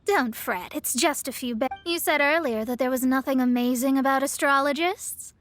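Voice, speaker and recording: High-voiced, haughty young astrologer. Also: female voice, normal speed, fairly bright tone. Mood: haughty, confident. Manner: pompous, formal. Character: proud young female astrologer, haughty but easily flustered. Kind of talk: video game voice acting. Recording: music behind the voice.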